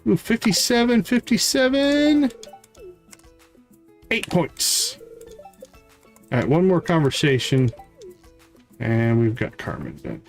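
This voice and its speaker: A man's voice: sits high, big pitch swings, slow pace, vocal fry, long pauses, soft background music. A man doing casual live game commentary, thinking aloud and talking himself through each task step by step. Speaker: live game streamer, host.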